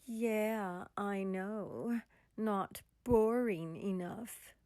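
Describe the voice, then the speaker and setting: A woman's voice: mid-range voice, drawn-out words, warm tone, no echo, clean studio sound. An actress playing a once-drab woman turned vengeful witch, speaking in a cold, taunting manner in a radio drama.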